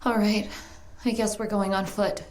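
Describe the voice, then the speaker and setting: A woman playing a weary, determined, haunted heroine in a supernatural Old West audio drama, speaking in a measured, emotive way. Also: medium pitch, flat delivery, a crowd in the background, close to an everyday voice. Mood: resigned. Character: weary, determined woman sharing her body with a murderer.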